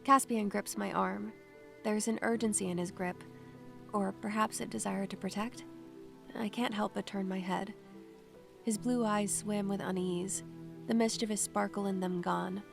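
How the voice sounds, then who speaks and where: A woman's voice: mid-range voice, fairly even intonation, unhurried, vocal fry, over music. A woman playing a wistful young student who talks to spirits, in a poetic, dramatic audio drama style. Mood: haunted, wistful. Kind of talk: audio drama with poetic first-person narration.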